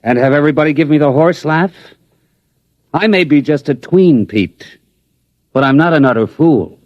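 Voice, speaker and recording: A man's voice: a little high, normal speed, dull audio, natural voice. An actor playing an eager, persuasive scientist friend who pleads his case, in a 1950s radio drama. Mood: wry. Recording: old radio broadcast.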